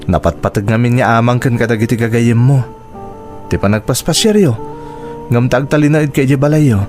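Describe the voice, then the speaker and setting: A man's voice: medium pitch, fairly quick, a little mellow, faint music behind, natural voice. A man playing a blunt, scolding character in a melodramatic radio drama, with an Ilocano accent. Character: blunt, scolding man confronting a neglectful mother.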